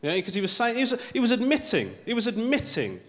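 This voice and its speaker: A man's voice: high voice, wildly swooping pitch, fast talker, fairly bright tone, big-room sound. A male stand-up comedian doing a conversational routine on a TV studio stage in front of a live audience, often tagging his lines with "right?".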